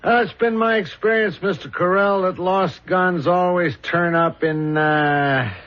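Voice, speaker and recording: An actor playing a calm, confident police detective in an old-fashioned radio drama, drily matter-of-fact and smoothly insistent. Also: male voice, high-pitched, measured pace. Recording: dull old radio broadcast recording.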